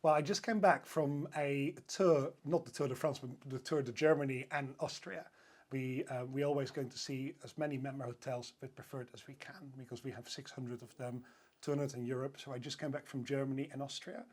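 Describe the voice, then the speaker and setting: A man's voice: mid-range voice, steady tone, fairly quick, darkish tone. A man with a Dutch accent, chatting eagerly as a podcast guest with lots of fillers and restarts. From Netherlands. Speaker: hotel sales director, podcast guest. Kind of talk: casual interview chat.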